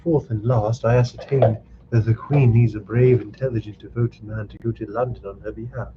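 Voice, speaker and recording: A man's voice: on the low side, steady tone, normal speed, dull audio, a little hiss or hum, close to an everyday voice. A man voicing a bold, gallant young swordsman, speaking in a confident, courtly, declamatory way.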